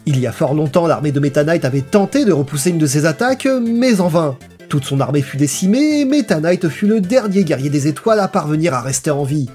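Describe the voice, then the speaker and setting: A man's voice: fairly high pitch, lively intonation, a little fast, hardly any pauses, darkish tone. A man reading a scripted video essay in a playful, theatrical storytelling manner. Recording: voice-over with music behind. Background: background music.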